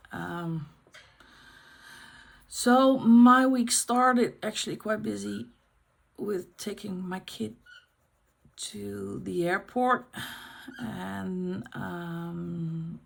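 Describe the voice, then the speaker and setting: Slow, gravelly Dutch-accented woman. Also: on the low side. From Netherlands. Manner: unscripted, pauses to find words. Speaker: colouring channel host. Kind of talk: casual chat while colouring.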